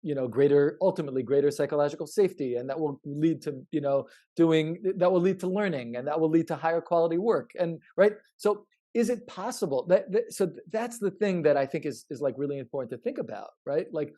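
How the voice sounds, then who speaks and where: Mellow, slightly high-voiced man explaining haltingly. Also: lively intonation. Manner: halting, repetitive, emphatic, checks in with "right?". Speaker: researcher guest explaining his study. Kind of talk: conversational podcast explanation.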